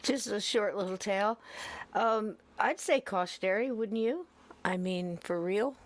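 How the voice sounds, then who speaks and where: Slow, relaxed woman podcast host. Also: medium pitch. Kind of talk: casual live-stream chat.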